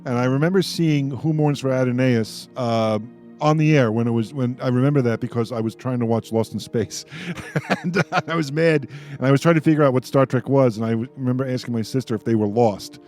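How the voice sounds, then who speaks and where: A man's voice: medium pitch, a little fast, a little mellow, faint music behind. A man in his sixties hosting a radio panel, chatting casually and circling back as he rambles through questions and memories. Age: 60s. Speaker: radio host. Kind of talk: casual chat, moderating a panel.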